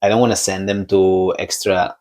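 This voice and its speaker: A young man from Spain with a Spanish accent, a podcast guest talking in a relaxed, halting way and laughing often. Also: deep voice. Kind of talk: casual conversation.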